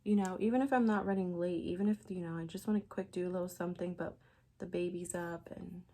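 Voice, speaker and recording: Young female beauty vlogger, raspy and a bit stuffy, low-ish gravelly voice, rambling casual delivery. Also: fairly even intonation, fast, dark tone. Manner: chatty, rambling with many fillers. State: raspy from a sinus infection. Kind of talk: casual makeup tutorial.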